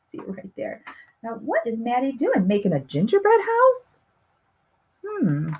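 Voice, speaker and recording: A woman's voice: on the high side, huge pitch swings, measured pace, darkish tone, husky, highs cut off. A woman reading a picture book aloud to young children, expressively stretching key words and putting questions to her listeners. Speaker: storybook reader.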